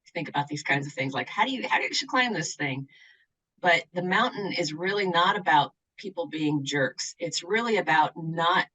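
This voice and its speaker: Low-pitched woman from the seattle area, thoughtful interview answers w some ums. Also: expressive, a little fast, sharp tone, husky, poor audio. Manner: earnest and reflective, with fillers. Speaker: university accessibility coordinator.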